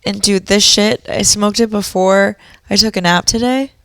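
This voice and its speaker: A woman co-host from Boston rambles through silly stories on a casual podcast, giggling along the way. Her voice is bright and forceful, and it sounds close and dry, with no room in it. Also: mid-range voice, fairly even intonation, normal speed, clear, resonant tone, dry recording. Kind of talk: casual stoner comedy chat.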